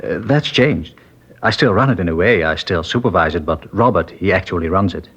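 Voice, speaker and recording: A meek, trusting older factory owner. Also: male voice, low voice, lively intonation, a little fast, slightly rough, exaggerated voice. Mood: gentle. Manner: gentle, hesitant, rambling speech. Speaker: witness in a police interview. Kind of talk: 1950s radio drama acting. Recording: muffled vintage radio broadcast.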